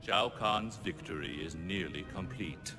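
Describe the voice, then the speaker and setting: A deep, gloating evil sorcerer. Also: male voice, measured pace. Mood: smug, menacing. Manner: menacing, smug, formal. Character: gloating, sinister male sorcerer of the underworld. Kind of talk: villain acting in a video game cutscene. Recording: game cutscene, loud music underneath.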